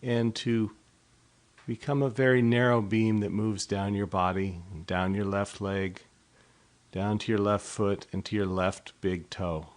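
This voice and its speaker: A man leading a guided body scan meditation in a slow, soft, unhurried way. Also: lowish voice, steady tone.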